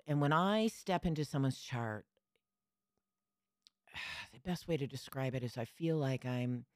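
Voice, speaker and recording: A woman's voice: very deep voice, darkish tone, no room sound. Mature woman astrologer in dry close sound. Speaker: astrologer, podcast guest. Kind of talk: conversational interview storytelling.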